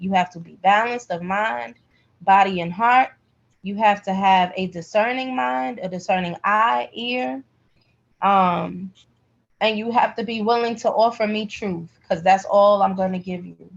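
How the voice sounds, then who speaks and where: A woman's voice: mid-range voice, creaky. A woman teaching a live spiritual healing class in a direct, emphatic, colloquial way. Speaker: class instructor, pendulum energy healer. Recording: slightly dull, lo-fi call audio.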